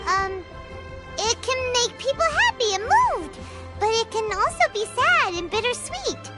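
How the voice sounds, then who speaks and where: A woman voicing a small, eager, bubbly childlike fairy companion in a video game, squeaky and chatty. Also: big pitch swings, a little slow, heavily acted. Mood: thoughtful. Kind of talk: video game character acting. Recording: loud music behind the voice. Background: loud tinkling game music.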